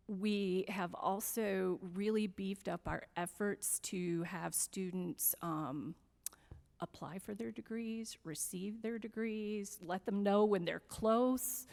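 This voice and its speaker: A woman's voice: fairly low pitch, creaky, a little mellow, long reverb tail. A woman administrator answering a board's question, hesitant and full of fillers. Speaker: college district administrator. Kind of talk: formal meeting answer.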